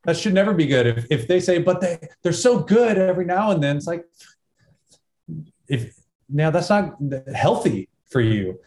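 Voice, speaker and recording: A man's voice: fairly high pitch, sing-song, normal speed, a little mellow. A man from Texas speaking casually as a podcast guest, giving earnest advice in short phrases. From Texas, United States. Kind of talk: casual conversational podcast talk.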